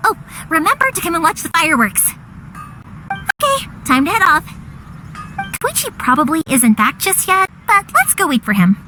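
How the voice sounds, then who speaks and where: An actress playing a cheerful, energetic young female fireworks maker who talks in a bubbly, chatty, eager way. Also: very high-pitched, normal speed, pauses often, over music, low-quality recording.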